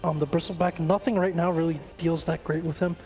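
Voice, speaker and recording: Gravelly, fast male esports analyst. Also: a little high, steady tone, a little mellow. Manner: explanatory, many fillers. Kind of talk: draft analysis commentary. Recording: music clearly behind.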